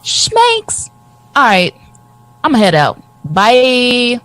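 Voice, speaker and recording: A woman's voice: on the high side, wildly swooping pitch, extremely slow, fairly bright tone, no echo, heavily acted, low-quality recording. A woman acting in an audio drama as a brash, teasing young friend who talks in blunt slang. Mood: sassy, mocking.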